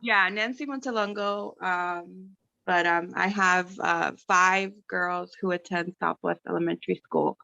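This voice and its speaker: A woman's voice: fairly low pitch, steady tone, measured pace, gravelly, pushed and bright, highs cut off. A woman in her thirties, from California, talking casually as a parent on a panel, rambling and correcting herself as she goes. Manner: chatty, rambling, self-correcting. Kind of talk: casual video-call talk. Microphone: video call.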